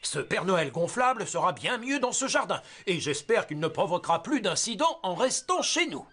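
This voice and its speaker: A man's voice: sits high, sing-song, normal speed, breathy edge, heavily acted. A man voicing a fussy, earnest fire station chief in a children's cartoon, official and slightly flustered. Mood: pleased. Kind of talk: animated children's cartoon dubbing. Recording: loud music behind the voice.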